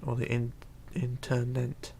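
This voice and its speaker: Streamer guy, gravelly voice, rambly chat-reading, light hiss behind. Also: medium pitch, completely flat delivery, mellow. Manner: rambling, chatty, halting with fillers and restarts. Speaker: Minecraft livestreamer. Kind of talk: casual livestream, reading chat aloud.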